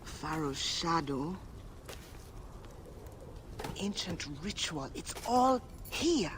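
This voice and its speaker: An actor playing a shrewd, bookish, curious scholar in a video game, musing theatrically with dramatic pauses. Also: male voice, a little high, sing-song, background noise, full character voice. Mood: intrigued, musing. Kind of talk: video game cutscene acting.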